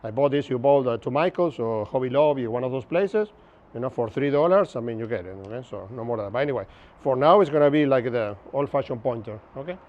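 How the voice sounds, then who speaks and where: A man's voice: mid-range voice, lively intonation, fast. Man, 50s, Buenos Aires accent, Spanish native speaker, chatty instructor, open-air pavilion, slightly muffled sound. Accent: Argentine Spanish, Buenos Aires. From Argentina. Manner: chatty, jokey, keeps checking in with the audience. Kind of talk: informal workshop lecture. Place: open-sided pavilion outdoors.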